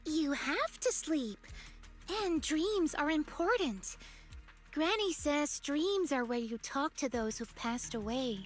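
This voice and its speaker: A dreamy, innocent little girl from a game. Her speech is very high and unhurried, with airy, swooping intonation. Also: animated, soft background music. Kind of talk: scripted game voice acting.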